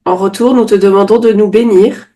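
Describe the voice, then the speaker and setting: A woman's voice: mid-range voice, steady tone, warm tone. Woman interpreting a sermon. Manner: quick restating, occasional self-corrections.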